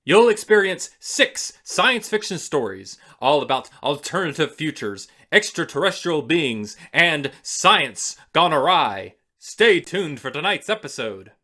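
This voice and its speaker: A man's voice: fairly high pitch, big pitch swings, unhurried, hardly any pauses, sharp tone. A man hosting a radio drama festival, delivering scripted announcements with theatrical flair. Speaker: radio play festival host.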